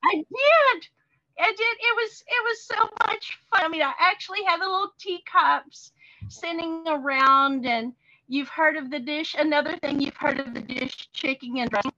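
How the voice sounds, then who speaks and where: A woman's voice: high voice, moderate pace, sharp tone, dry recording, highs cut off. A woman with a Texan accent, a guest author chatting in an interview and laughing often. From Texas, USA. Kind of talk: casual interview chat.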